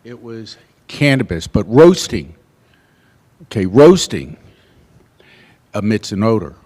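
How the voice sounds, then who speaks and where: A soft-spoken man at a podium microphone, giving a public comment at a council meeting with plain, measured speech and some fillers. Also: lowish voice, very animated.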